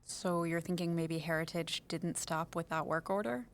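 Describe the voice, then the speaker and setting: Actress as helpful woman researcher, slightly low voice, brisk, practical, naturalistic audio drama dialogue. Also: fairly even intonation, lightly acted. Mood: curious. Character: practical, helpful woman town-office colleague, tech-savvy researcher.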